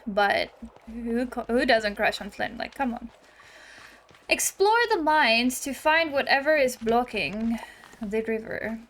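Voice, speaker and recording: A woman's voice: on the high side, expressive, slow, a bit bright. A woman giving casual, chatty let's-play commentary on a game, playful and given to exclaiming. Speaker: gaming video host.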